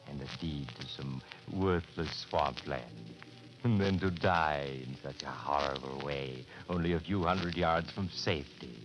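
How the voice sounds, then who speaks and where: A very deep-voiced, sinister storyteller, hosts 1940s radio horror with mock sympathy and macabre irony. Music plays clearly behind him. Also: male voice, poor audio. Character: sinister, mysterious male host of horror tales. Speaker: host.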